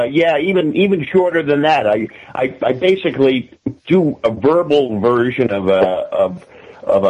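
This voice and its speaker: A man from the United States giving political commentary as an interview guest, speaking haltingly with repeated words. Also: medium pitch, muffled recording.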